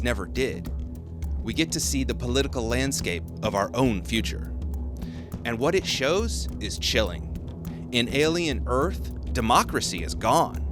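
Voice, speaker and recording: Guy doing scripted video essay narration, serious and emphatic, really varied pitch, music behind him.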